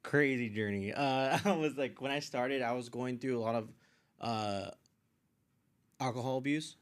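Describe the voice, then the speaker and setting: A man's voice: mid-range voice, measured pace, smooth. A man chatting informally as a podcast guest, telling personal stories in a slangy, meandering way. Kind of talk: casual personal storytelling.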